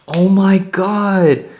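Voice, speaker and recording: A young man voicing a fussy, scandalized online critic in a high, drawn-out mocking tone. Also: darkish tone, a little hiss or hum, full character voice.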